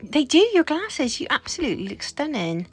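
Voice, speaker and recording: A woman's voice: high voice, big pitch swings, a little fast. A woman playing a friendly, gushing doll hairdresser in an improvised doll story, chatting and reassuring her clients. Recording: music behind the voice.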